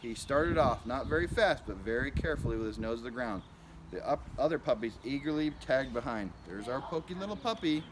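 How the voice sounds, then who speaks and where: Guy reading a kids picture book out loud, mid pitch, lots of background noise. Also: animated, brisk. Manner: simple, child-directed narration with asides about the pictures. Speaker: school principal reading to children. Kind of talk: picture book read-aloud.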